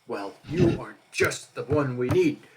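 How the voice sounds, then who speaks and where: A man's voice: mid-range voice, lively intonation, measured pace, heavy background noise, voice pushed up, close to an everyday voice. A man voicing a short male dwarf quest giver who speaks bluntly. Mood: welcoming.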